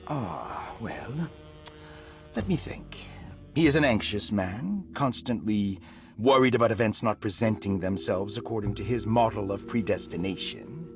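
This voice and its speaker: Suave, condescending doctor over music. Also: male voice, on the low side, expressive. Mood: evasive, courteous. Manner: measured, polite, condescending. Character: suave, evasive male doctor, refined and condescending. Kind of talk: video game cutscene acting.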